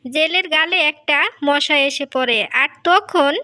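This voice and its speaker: A woman's voice: high-pitched, unhurried, very noisy. A woman narrating a scripted fairy tale as the storyteller.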